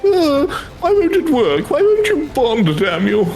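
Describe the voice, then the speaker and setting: A man voicing an excitable, easily frustrated scholar in a fantasy game, with flustered and theatrical formal speech. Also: very high-pitched, a little slow, soft background music, voice pushed up, exaggerated voice. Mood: exasperated. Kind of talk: fan read-aloud of fantasy game dialogue.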